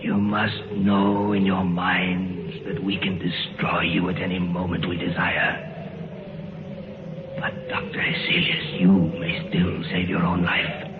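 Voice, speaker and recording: A whispering shadow entity, very low, slow, echoey, phone-thin old broadcast under loud music. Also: male voice, completely flat delivery, narrow, tinny audio. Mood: menacing. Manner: slow, gloating, half-whispered and sung taunts. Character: menacing chorus of ancient shadow beings. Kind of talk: 1950s radio drama acting.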